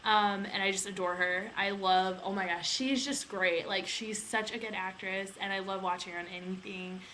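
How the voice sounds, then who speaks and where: A woman's voice: mid-range voice, steady tone, moderate pace, sharp tone, slight background noise, low-quality recording. A young American woman chatting informally in a casual vlog, rambling and peppering her talk with filler words like "like" and "you know". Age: young adult. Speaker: vlogger, aviation student.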